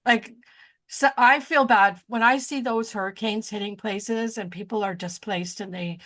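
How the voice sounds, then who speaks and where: A woman's voice: medium pitch, steady tone, measured pace, a bit bright. A woman in her sixties co-hosting a podcast, chatting casually and rambling, often losing her train of thought and joking at her own expense. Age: 60s. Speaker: podcast co-host. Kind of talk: casual chat.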